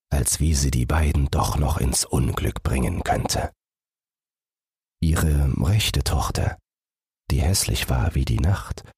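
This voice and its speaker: A man's voice: very deep voice, a little mellow, slightly rough, no room sound, studio quality. A man narrating a scripted fairy tale as a bedtime audiobook.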